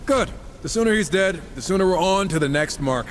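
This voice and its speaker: A man's voice: high voice, expressive, brisk, in a large hall, noisy background. An actor playing a cocky, wisecracking horseman warrior in a video game, sarcastic and irreverent. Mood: wry. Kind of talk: casual quips in video game cutscene acting.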